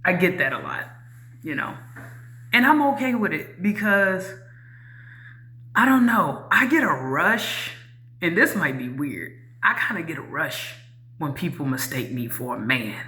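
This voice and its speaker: A woman with a very low voice, talking candidly to camera in a reflective way, with many ums and you-knows. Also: very animated, measured pace, pushed and bright. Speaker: YouTuber. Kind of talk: casual talk to camera.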